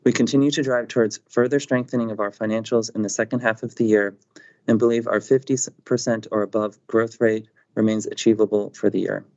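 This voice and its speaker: A man with a fairly low voice reads prepared financial remarks at an even, businesslike pace. He sounds measured and composed throughout. The audio is dull and lo-fi, like a conference call. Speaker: company finance chief on an earnings call.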